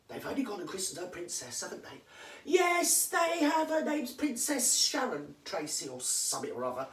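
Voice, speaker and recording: A vlogger with a London accent, rattling off comic celebrity gossip, answering their own questions and dropping into hushed asides. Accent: London English. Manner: drops to hushed asides, jumps to emphatic high yeses. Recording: echoey room, voice far from mic.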